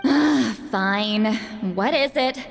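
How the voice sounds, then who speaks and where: A woman's voice: on the high side, drawn-out words, creaky, full character voice. Proud, prickly shaman in long reverb. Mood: testy, challenging. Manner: haughty, brusque, grudging. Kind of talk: video game voice acting.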